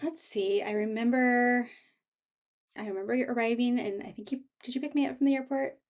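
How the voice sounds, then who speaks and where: A woman's voice: a little high, fairly even intonation, a little fast. A woman reminiscing casually as a guest, with a wry, conversational way of telling things. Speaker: author and guest.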